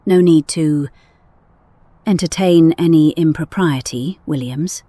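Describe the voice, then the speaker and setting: A woman playing a brisk medic team leader in an audio drama, firm and dry-witted. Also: low voice, dark tone, no room sound.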